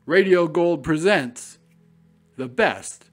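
A man's voice: a little high, animated, slow pace, faint music behind. A man hosting a radio program, reading a scripted documentary-style introduction. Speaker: program host.

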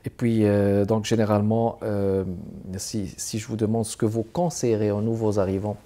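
A middle-aged man hosting an arts interview show in a TV studio, speaking in a formal, measured presenter manner. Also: lowish voice, little pitch movement, warm tone. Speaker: TV host.

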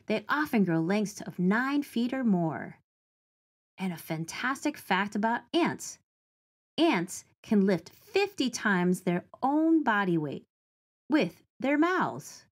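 Woman reading a children's book aloud. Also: medium pitch. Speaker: teaching artist reading a picture book aloud. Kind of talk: children's read-aloud of a rhyming picture book and nature facts.

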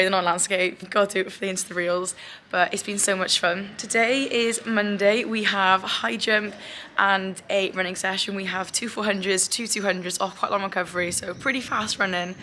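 A young woman with a hoarse voice, chatting upbeat to a handheld camera at a running track, laughing often. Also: medium pitch, pushed and bright, a little hiss or hum. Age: young adult. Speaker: athlete vlogger. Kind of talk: casual vlog to camera. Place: outdoor running track and hotel rooms. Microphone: handheld camera at arm's length.

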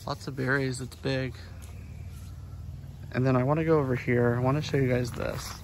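A man's voice: mid-range voice, level tone, moderate pace. A man in his thirties talking casually as a vlogger while filming himself outdoors. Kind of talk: casual vlog narration. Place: outdoors at a farm nursery. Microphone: handheld phone at arm's length. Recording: faint outdoor background noise.

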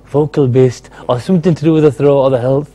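Fast, mellow-voiced young male host. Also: medium pitch, fairly even intonation. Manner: eager, informal, calls guests bro. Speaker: TV presenter. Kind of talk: live studio interview. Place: TV studio set.

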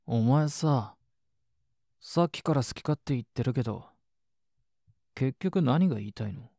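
A man's voice: mid-range voice, expressive, unhurried, mellow, dry recording, clean studio sound. A man playing a sadistic, possessive boyfriend, cold, taunting and commanding, in a situation voice drama. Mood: domineering, menacing. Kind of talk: Japanese situation voice acting.

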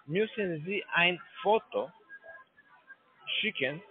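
A man on a phone call, speaking in halting, broken German full of fillers and restarts. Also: high voice, lively intonation, slightly rough, faint music behind. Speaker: phone scammer caller. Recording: tinny phone line.

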